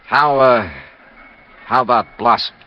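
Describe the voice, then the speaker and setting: A man's voice: medium pitch, measured pace, faint music behind, lightly acted. An actor playing a persistent, wry American insurance investigator in a classic radio detective drama, clipped and dry in his delivery. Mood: probing. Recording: dull, muffled old radio recording.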